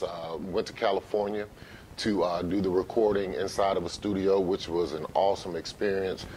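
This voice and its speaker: A middle-aged American man giving casual interview answers as a guest, with halting, conversational phrasing. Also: a little mellow, poor audio. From Memphis, United States. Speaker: interview guest, sports arena announcer. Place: living room, seated on couch. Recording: faint low background noise.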